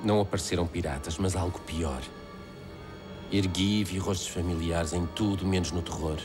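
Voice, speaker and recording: A man with a European Portuguese accent voicing a doomed castaway, narrating a macabre horror tale in a grave, literary, measured way. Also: low-pitched, steady tone, over music, lightly acted. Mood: dread. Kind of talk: dramatic first-person storybook narration.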